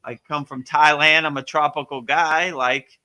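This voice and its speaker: A man hosting a live spiritual question-and-answer session, talking in a relaxed, rambling way with many fillers. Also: fairly high pitch, measured pace. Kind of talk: casual spiritual Q&A.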